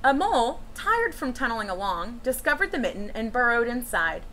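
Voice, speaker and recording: A woman reading a picture book to young students as a teacher, in an expressive storytelling style with chatty asides. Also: on the high side, brisk, slight background noise. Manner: animated, with asides and questions to children. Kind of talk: expressive children's read-aloud storytelling.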